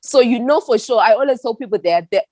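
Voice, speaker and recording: A woman's voice: on the high side, expressive, fast, dark tone, dry recording, clean studio sound. A young woman from Zimbabwe answering an interviewer earnestly and reflectively. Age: young adult. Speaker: activist guest.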